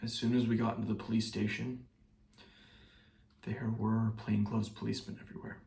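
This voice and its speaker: A man performing a stage monologue as a shaken, bitter trial juror, speaking haltingly like someone giving testimony. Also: on the low side, level tone, gravelly, a little mellow. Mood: subdued, haunted. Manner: halting, with pauses and repeated phrases. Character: shaken male trial juror, hounded, guilt-ridden and bitter. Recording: roomy echo, distant mic.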